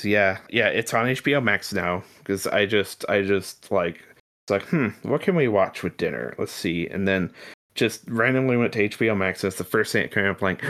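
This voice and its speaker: Low-pitched man in casual podcast chat. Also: a bit bright. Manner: informal, rambling, swears casually. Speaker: podcast host.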